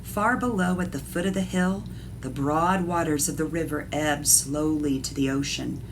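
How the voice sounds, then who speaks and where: A woman's voice: sits low, normal speed, background noise. A woman art teacher reading a picture book aloud expressively and explaining things to young listeners. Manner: instructive, addresses young listeners directly.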